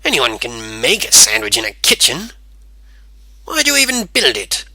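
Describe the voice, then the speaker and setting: A gruff, dismissive town mayor from a storybook, as a man acts him out. He blusters loudly and demands things, with a very forceful, animated delivery. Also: medium pitch, moderate pace, no room sound, heavily acted. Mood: indignant. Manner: blustering, loud.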